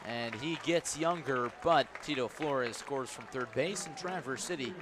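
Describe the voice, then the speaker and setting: A man's voice: medium pitch, unhurried, a crowd in the background, background noise. A man doing live baseball play-by-play on radio in clipped, descriptive calls that lift when the action picks up. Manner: clipped descriptive calls, rising to excitement on plays. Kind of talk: live sports commentary. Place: outdoor ballpark broadcast position.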